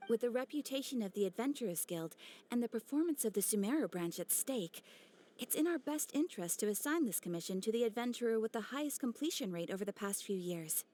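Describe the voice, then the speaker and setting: A woman's voice: on the high side, expressive, mellow, close to an everyday voice. A woman voicing a polite, formal receptionist at an adventurers' guild in a video game. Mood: businesslike. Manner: polite, formal, measured, professional. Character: poised, courteous female adventurers' guild receptionist. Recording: faint music behind the voice.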